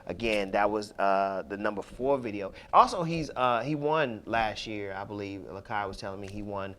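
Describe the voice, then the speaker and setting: A man hosting a radio show in casual talk, loose and full of "you know" fillers as he gives shout-outs. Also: lowish voice, lively intonation, moderate pace. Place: radio studio.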